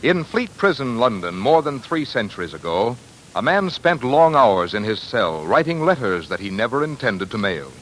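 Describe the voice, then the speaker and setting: A man's voice: medium pitch, fairly bright tone, rough recording. An American man reading as a polished, earnest radio announcer in scripted 1940s commercial style. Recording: 1940s radio broadcast, faint noise.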